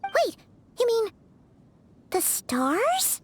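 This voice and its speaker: A woman voicing a small, childlike, chatty fairy companion in a video game, with a bubbly, squeaky delivery. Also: huge pitch swings, drawn-out words, noisy background, full character voice. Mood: surprised. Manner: bubbly, speaks of herself in third person. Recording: loud music behind the voice. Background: game music.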